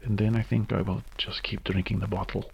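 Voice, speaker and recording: Man acting weary male log-keeper, heavy fry, husky, resigned, muffled recording, audio drama. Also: on the low side, little pitch movement, a little fast, slight background noise. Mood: grim, resigned.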